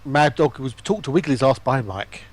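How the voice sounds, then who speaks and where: A man with a mid-pitched, very animated voice joins a livestream as a guest. He chats informally, his intonation moving widely up and down. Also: normal speed. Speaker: stream guest. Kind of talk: casual banter.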